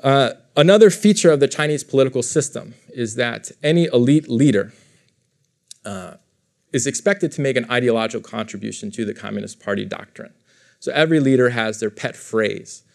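Man, gravelly, mid-pitched, lecturing, explanatory, restarts his sentences. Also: a little fast. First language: English. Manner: explanatory, self-correcting, with frequent fillers and repeats. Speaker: professor giving a lecture. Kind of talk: academic lecture to a live audience. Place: lecture room.

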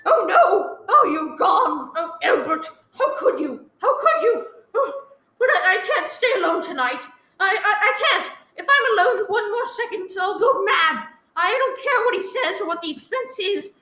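A woman's voice: extremely high pitch, sing-song, moderate pace, pushed and bright, exaggerated voice. A woman playing a panicky, demanding invalid wife in a radio play, stammering and pleading. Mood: desperate, distraught. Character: frantic, demanding, self-pitying invalid wife alone at night. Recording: roomy echoing live recording.

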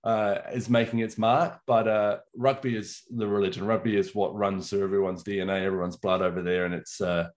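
Guest voice: a middle-aged man from England, low-pitched, warm and enthusiastic in conversation. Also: steady tone, a little fast, hardly any pauses. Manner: chatty, enthusiastic storytelling. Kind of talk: casual chat.

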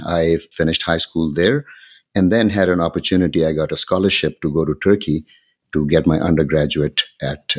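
A man in his sixties from Pakistan, a podcast guest calmly recounting his upbringing and education. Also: extremely low pitch, husky. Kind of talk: recounting his life story.

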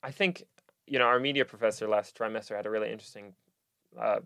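A young man with an American Midwest-leaning accent hosts a relaxed podcast conversation. His lowish voice has a gravelly, fried edge, and he talks with hesitant pauses and easy laughter. The sound is dry and close. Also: dry recording. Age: young adult. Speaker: podcast host. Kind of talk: casual chat.

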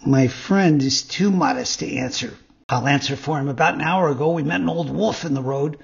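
A man voicing a sly fox swindler in a storybook, wheedling and theatrical, feigning gentlemanly sympathy. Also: on the high side, moderate pace, creaky, husky, dull audio, full character voice. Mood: mock pity.

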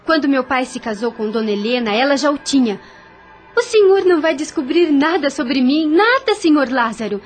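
Dignified young widow, high voice, formal, earnest, firm, radio soap heroine, light music underneath. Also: female voice, expressive, moderate pace. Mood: indignant. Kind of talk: radio drama acting.

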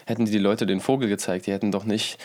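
Berlin musician in his thirties, slightly low voice, loose slangy chat. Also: fast. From Berlin, Germany. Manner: relaxed, slangy, rambling. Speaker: musician and producer. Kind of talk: casual interview chat.

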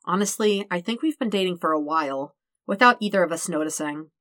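A woman's voice: lowish voice, brisk, a bit bright, no echo, studio quality, natural voice. A woman voicing a casual, amused and tender young man in love in a story, speaking plainly and informally. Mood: tender, sincere.

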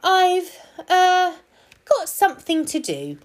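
A woman's voice: very high voice, huge pitch swings, slow, pitched above the performer's own voice, full character voice. A woman voicing a nervous, reluctant little male hedgehog in a children's story, speaking hesitantly. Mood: uneasy.

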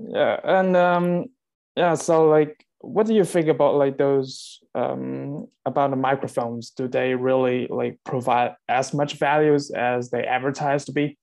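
A man's voice: medium pitch, steady tone, measured pace, creaky, darkish tone. A man guesting on a podcast over a remote call, talking hesitantly with many fillers through earphones with a built-in microphone. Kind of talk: casual interview chat.

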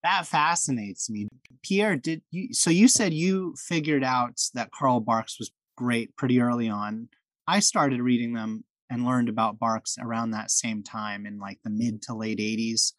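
A man's voice: medium pitch, measured pace, no echo, low-quality recording. A man hosting a podcast, chatting in a friendly, enthusiastic way with frequent restarts and fillers. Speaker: podcast host. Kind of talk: casual podcast chat.

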